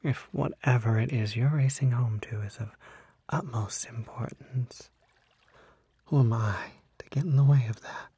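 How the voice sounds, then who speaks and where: Man acting a husky, half-whispering charming kelpie. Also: mid-range voice, mellow, dry recording. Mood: evasive. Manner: halting, coaxing, genteel endearments. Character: unsettling smooth-talking male kelpie, charming, needy, secretly predatory. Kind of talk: ASMR roleplay acting.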